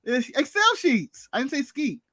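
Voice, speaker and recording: A man's voice: very high-pitched, normal speed, dry recording, clean studio sound. A man co-hosting a podcast, joking and swearing in casual banter. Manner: profane, joking, animated. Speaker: podcast co-host.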